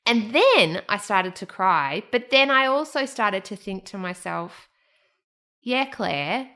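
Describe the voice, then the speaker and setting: A woman's voice: medium pitch, animated, takes it slowly, pushed and bright. A woman hosting a podcast, telling a personal story in a candid, emotional way. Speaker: podcast host. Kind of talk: casual chat.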